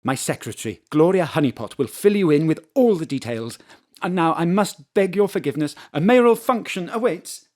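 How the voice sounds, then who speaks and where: Brisk mayor lady, formal and polite but blunt, a woman acting her, lowish voice, home studio mic. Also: animated, warm tone, lightly acted. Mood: businesslike.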